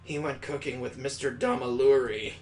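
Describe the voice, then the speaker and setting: A man voicing an oblivious, innocent young teenage girl with a slow, blank delivery, as in a visual novel. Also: mid-range voice, darkish tone, slight background noise, close to an everyday voice.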